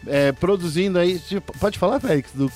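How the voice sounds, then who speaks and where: Brazilian male host, slightly high voice. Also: normal speed, darkish tone, faint music behind. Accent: Brazilian Portuguese. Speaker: esports news show host. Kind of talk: casual upbeat show presenting.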